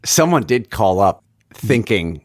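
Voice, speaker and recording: Lively man in casual chat, swinging intonation. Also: fairly low pitch, slow pace, dry recording, studio quality. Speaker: podcast co-host.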